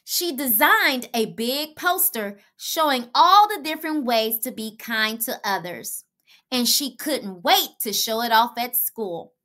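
A woman reads a children's story aloud with a bright, high voice. Her delivery is slow and expressive, as scripted narration meant for young listeners. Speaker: children's book reader.

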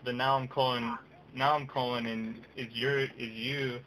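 A man's voice: mid-range voice, level tone, unhurried, a bit bright, slight background noise, low-quality recording. A young man making casual prank calls in a slangy, mock-earnest way, recorded on a webcam in a small room. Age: young adult. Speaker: prank caller. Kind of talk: casual prank phone chat.

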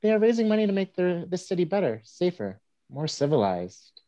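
A man in a play reading, voicing a gentle, besotted newspaperman of the Old West. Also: high voice, big pitch swings, a little fast. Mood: fond. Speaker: actor in an online staged reading. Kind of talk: scripted stage play reading. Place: indoors at home, on a group video call.

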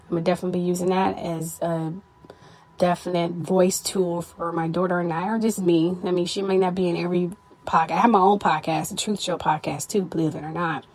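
A tired, stressed woman hosting her own podcast, talking candidly to listeners in a casual personal update, her voice a little hoarse. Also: lowish voice, steady tone, a little fast, a little mellow. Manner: candid, weary, stressed, with frequent "you know". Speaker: podcast host.

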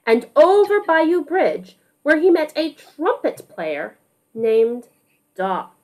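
A woman reads a picture book to young children with a high voice and big swings of pitch. She is expressive and affectionate, teaching over a video call, and takes her time as she draws the children in with questions. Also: slow pace. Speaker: music teacher reading a picture book aloud. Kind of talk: animated read-aloud to young children on a group video call.

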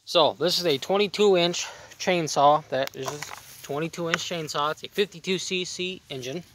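A man's voice: fairly high pitch, normal speed, background noise. A young man hosting a casual outdoor vlog, chatting easily and repeating words for emphasis, recorded outdoors in a backyard on a nearby camera mic. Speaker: vlog host. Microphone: camera mic on a tripod nearby.

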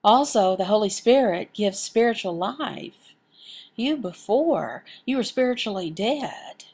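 Creaky-voiced woman interpreting a sermon. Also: mid-range voice, lively intonation, unhurried, a little mellow. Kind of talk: sermon teaching, spoken as a voice-over interpretation.

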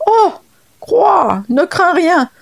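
A woman voicing a sly female frog who croaks and wails to dodge her debts. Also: on the high side, slow pace, voice pushed up. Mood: coaxing. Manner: croaking, mournful pleading. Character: wailing female frog debtor, sly and evasive.

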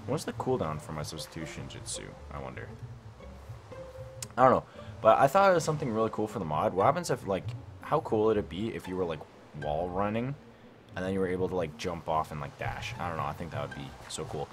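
A young man with a low, deep voice talks through a game session at his desk mic in the middle of the night. His delivery swings up and down a lot as he wonders aloud, trails off and restarts. Faint music plays underneath. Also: sing-song, quick pace. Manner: rambling, self-questioning, lots of "like" and "I don't know". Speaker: gaming YouTuber. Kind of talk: casual let's-play commentary. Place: bedroom at home, late at night.

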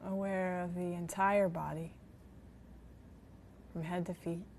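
A woman guiding a body scan meditation in a gentle, soothing manner, leaving long pauses between phrases. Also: lowish voice, little pitch movement, a little hiss or hum. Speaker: meditation guide.

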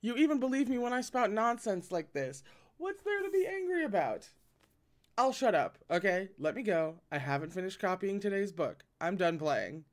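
A man's voice: high voice, big pitch swings, normal speed, pitched above the performer's own voice. A man voicing a mischievous, teasing young man who whines and jokes in a raised voice. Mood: protesting. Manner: teasing, whiny, sing-song.

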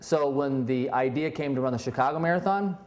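Pastor guy in his 40s, candid, a bit self-deprecating, medium pitch. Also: brisk. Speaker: lead pastor. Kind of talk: testimonial interview. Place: indoor room with brick wall.

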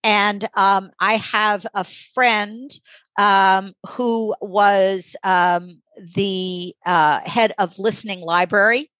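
A mature woman speaking as an interview guest, thoughtful and conversational, halting and reflective as she pauses mid-sentence. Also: mid-range voice, steady tone, a bit bright, no room sound. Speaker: interview guest, audiobook magazine editor.

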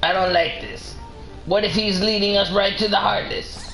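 A man's voice: high-pitched, steady tone, moderate pace, creaky, pushed and bright, pitched above the performer's own voice, exaggerated voice. A man voicing an indignant, nervous cartoon duck sidekick from a video game, blustery and worried. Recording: roomy echo, game music behind.

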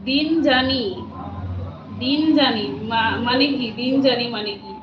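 A woman with a high voice hosts a casual livestream. She talks in a familiar, chatty way, repeating phrases as she responds to viewers. The sound is roomy and dull, with clear background noise. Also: unhurried. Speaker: livestream host. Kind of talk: casual live chat, reading and answering viewer comments.

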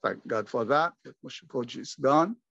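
An older man speaking at a memorial, measured and earnest, pausing often, talking from a small room at home over a video call. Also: mid-range voice, expressive, creaky, a bit bright, no room sound. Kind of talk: slow reflective remembrance. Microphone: video call webcam. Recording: dull lo-fi video call audio.

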